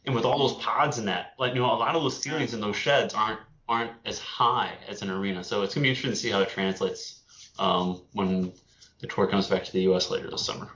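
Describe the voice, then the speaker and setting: A man with a fairly low voice chats casually, circling back over his own phrases in a rambling way. He sounds as if in a reverberant room, on slightly muffled audio. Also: fairly even intonation, fast, a bit bright. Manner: repetitive, rambling. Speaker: panelist on a fan discussion. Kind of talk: casual chat. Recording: echoey room, dull lo-fi audio.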